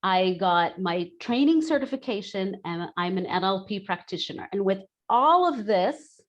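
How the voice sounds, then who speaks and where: A woman's voice: medium pitch, expressive, normal speed, highs cut off. A woman in her forties from Brazil, an expat coach giving a candid, chatty webinar talk over a video call and often restating her points. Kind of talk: webinar presentation.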